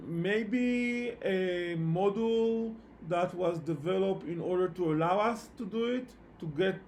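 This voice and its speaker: A man who speaks English as a second language, teaching programming in a live online lecture with halting phrasing and repeated words. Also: high voice, a little hiss or hum. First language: not English. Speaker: programming instructor. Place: online meetup session at a computer.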